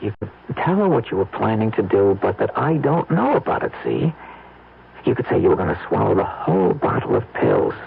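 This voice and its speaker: A man's voice: lowish voice, wildly swooping pitch, brisk, gravelly, breathy edge, heavily acted. An American man acting in an old-time radio drama as a scheming young husband, stammering and repeating himself as he coaxes. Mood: urgent coaxing. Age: young adult. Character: scheming young husband, nervy, coaxing, smooth-talking. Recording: muffled old radio broadcast.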